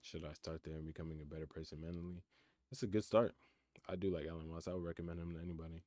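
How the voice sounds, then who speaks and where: A man's voice: deep voice, steady tone, racing, warm tone, dry recording, clean studio sound. A nineteen-year-old man chatting casually on a live stream, reading out questions from chat and answering them in a laid-back, reflective way. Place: his room in college housing. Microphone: computer mic.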